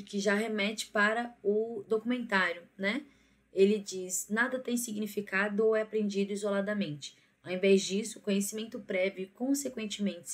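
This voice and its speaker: A young Brazilian woman giving an academic presentation in a halting, reflective way, often checking in with small tag questions. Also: mid-range voice. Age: young adult. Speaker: student documentary maker presenting a project. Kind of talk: academic presentation, semi-spontaneous explanation.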